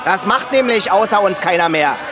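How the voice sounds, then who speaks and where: An actor playing a belligerent Nazi agitator who heckles a policeman at a funeral, loud and mocking in a radio drama. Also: high-pitched, expressive, moderate pace, crowd noise. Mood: taunting. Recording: crowded street noise behind the voice.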